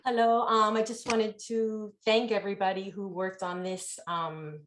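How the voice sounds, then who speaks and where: A woman's voice: medium pitch, flat delivery, a little slow. A middle-aged woman introducing herself on a panel with chatty enthusiasm over a video call. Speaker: panelist, professor.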